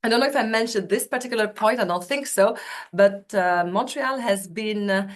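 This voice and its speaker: A woman's voice: medium pitch, normal speed. Woman from Tunisia, recruiter presenting on a video call, chatty and hesitant. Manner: chatty, many fillers and restarts. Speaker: university student recruitment officer. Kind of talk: webinar presentation.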